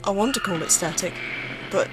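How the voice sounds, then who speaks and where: A woman's voice: mid-range voice, fairly quick, rough recording. A woman reading a first-person horror story aloud in a dramatic, suspenseful, acted-out way. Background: music.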